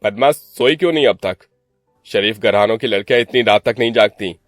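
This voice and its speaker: A man voicing a menacing, domineering young man with a harsh, commanding way of talking, in an animated story. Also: on the low side, a little fast, soft background music, natural voice. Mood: stern.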